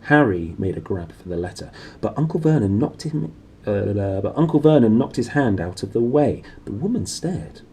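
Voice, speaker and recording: A man reading a children's novel aloud as an animated storyteller. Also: fairly low pitch, moderate pace, a little hiss or hum. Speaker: storybook reader.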